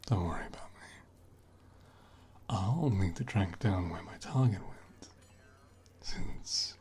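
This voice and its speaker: A man playing a flirty, protective assassin in an intimate ASMR roleplay, speaking gently and often dropping into a soothing whisper. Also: lowish voice, a little hiss or hum. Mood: confiding, gently teasing.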